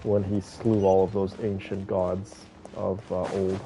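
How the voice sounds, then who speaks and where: A man's voice: low-pitched, flat delivery, measured pace, background noise. A man giving casual gameplay commentary, thinking aloud and talking to his viewers. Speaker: game streamer playing and commenting.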